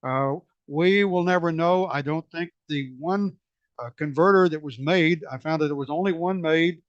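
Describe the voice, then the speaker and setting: A man presenting a technical slide talk at a museum society meeting, hoarse and losing his voice, halting with many fillers and restarts. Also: fairly high pitch, dry recording, lo-fi sound. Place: meeting room.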